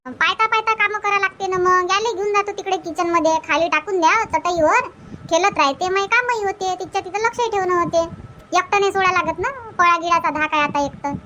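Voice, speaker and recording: A woman's voice: very high voice, brisk, sharp tone, a little hiss or hum. A woman voicing a young, fretful village housewife in a cartoon, chatty and rustic, with a Varhadi Marathi accent. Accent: Varhadi Marathi, Vidarbha. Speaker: cartoon character voice. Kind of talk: homely cartoon comedy dialogue.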